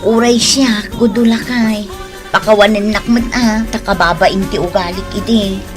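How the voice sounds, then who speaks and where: A woman playing a hospitable, humble village housewife in a radio drama, speaking in a homely, expressive way. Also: mid-range voice, normal speed, over music, close to an everyday voice. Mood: apologetic.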